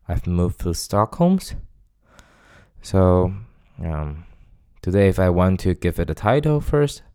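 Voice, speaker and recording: Deep, slow-talking Taiwanese man. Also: darkish tone, clear, resonant tone. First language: Mandarin Chinese. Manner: hesitant, searches for words with many pauses. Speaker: podcast host. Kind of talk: casual solo podcast monologue.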